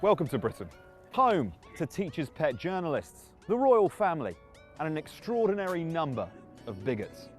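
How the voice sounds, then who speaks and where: A man's voice: fairly high pitch, sing-song, normal speed. Sardonic guy doing a scripted satire bit, voice bouncing all over, faint music under it. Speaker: satirical commentator.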